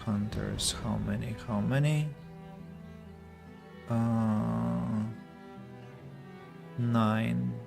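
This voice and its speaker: A young adult man from Russia with a Russian accent, streaming a strategy game and chatting with viewers, choosing his words slowly and carefully with frequent restarts. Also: mid-range voice, fairly even intonation, vocal fry, over music. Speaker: streamer and English teacher. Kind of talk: casual gameplay streaming chat.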